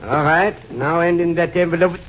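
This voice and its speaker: An actor playing a suspicious, blunt gangster in hiding, in 1950s radio drama style. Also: male voice, on the high side, normal speed, natural voice. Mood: agitated. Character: French LaSalle, wary ruthless gangster in hiding. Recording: muffled, noisy vintage radio recording.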